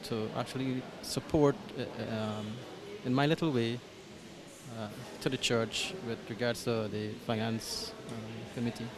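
A man's voice: medium pitch, steady tone, a little slow. Hesitant Guyanese man, church crowd behind. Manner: hesitant, many ums and restarts. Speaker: parish coordinator. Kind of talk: interview answers. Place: small church hall, indoors. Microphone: handheld mic held by interviewer.

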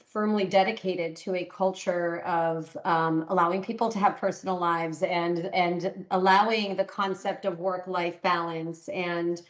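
A woman's voice: fairly low pitch, steady tone, unhurried, few pauses. A middle-aged woman leading a panel discussion on a call, affirming and conversational. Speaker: podcast host and panel lead.

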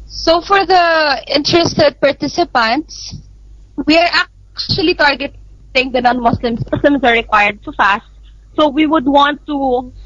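A woman's voice: sits high, fairly bright tone, no echo. A young woman from the Philippines with a Filipino accent, explaining things as an interview guest. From Manila, Philippines. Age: young adult. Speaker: guest, youth activist. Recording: dull, lo-fi remote connection.

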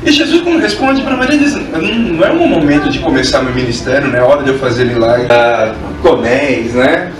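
A man's voice: medium pitch, lively intonation, fairly quick. A man officiating a wedding, preaching with emphatic, rising build-ups into a handheld mic. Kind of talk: wedding sermon. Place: large wedding tent, partly open. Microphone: handheld mic, recorded by far camera. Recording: echoey, distant, music behind.

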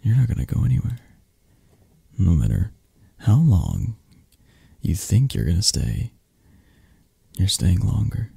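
A man playing a possessive, flirty mafia boss who dotes on his lover, murmuring softly and intimately in an ASMR romantic roleplay, with a breathy, husky, hesitant and reassuring delivery. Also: low voice, wildly swooping pitch, a little slow, pauses often, dry recording, studio quality, full character voice. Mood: teasing, possessive.

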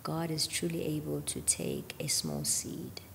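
A woman's voice: low-pitched, very animated, a little slow, gravelly, a bit bright, in a large hall, a little hiss or hum. A woman in her thirties, a gospel singer, talking earnestly about faith on a podcast and often dropping to a near-whisper. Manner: earnest, drops to near-whisper on key points. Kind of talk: reflective faith conversation. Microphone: studio mic on boom arm.

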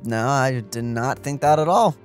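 A woman's voice: very deep voice, moderate pace, a little mellow, soft background music. A woman co-hosting a let's play, commenting casually on the game in a playful, sarcastic way.

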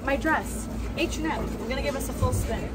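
A woman's voice: high-pitched, fairly quick, heavy background noise. A woman in her twenties chatting casually and cheerfully to camera as a travel vlogger, recorded outdoors on a handheld device. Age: 20s. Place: outdoors in a busy tourist village street. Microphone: phone or camera at arm's length. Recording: outdoor phone audio with busy crowd. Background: crowd chatter.

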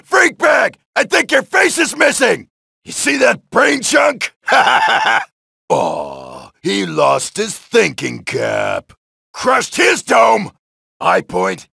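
An actor playing a brash, wisecracking male soldier who shouts taunts and one-liners while killing zombies in a video game, with a gravelly voice. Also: high-pitched, dry recording, heavily acted. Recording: dry studio voice lines.

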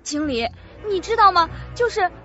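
An actress playing a frightened, tearful young female employee in a suspense radio drama, halting and pleading. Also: extremely high pitch, very animated, full character voice. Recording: dull audio under loud music.

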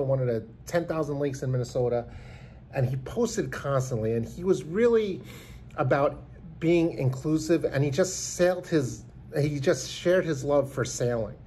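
A man's voice: a little high, mellow, a little hiss or hum. A man in his fifties giving an earnest, rambling tribute to camera from a small indoor room, filmed close on a phone. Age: 50s. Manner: earnest, rambling, many restarts. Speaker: vlogger. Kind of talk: heartfelt tribute talk to camera. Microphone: phone camera held close.

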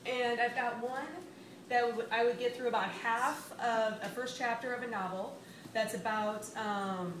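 A middle-aged woman author chatting casually to a live audience at a reading event. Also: fairly high pitch. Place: small bar reading room, indoors. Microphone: stand microphone, filmed from the audience. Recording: roomy, noisy bar on event microphone.

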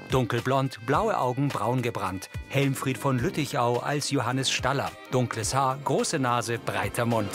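A man reading scripted audio description in a neutral, factual manner. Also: medium pitch. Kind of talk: scripted narration.

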